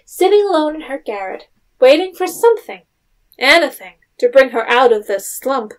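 A woman's voice: high voice, big pitch swings, moderate pace, rough recording. A woman narrating an audio drama as a tender, reflective storyteller of a literary tale.

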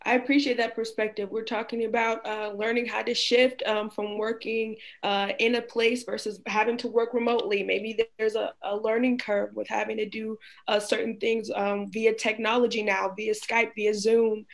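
Young woman moderating in a flat voice. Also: a little high, normal speed, vocal fry, hardly any pauses. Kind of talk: moderating a panel discussion. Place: home room, indoors. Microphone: video call.

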